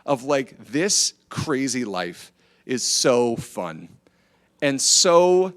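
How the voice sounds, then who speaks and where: A middle-aged man with an American accent speaks to a group in an informal, motivational way. His voice sits at an average pitch. He tells stories conversationally and keeps inviting agreement with short tag questions. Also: sing-song, very slow. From Iowa, United States. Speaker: speaker at a church family event. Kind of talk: informal motivational talk with storytelling. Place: church, speaking to a gathered group.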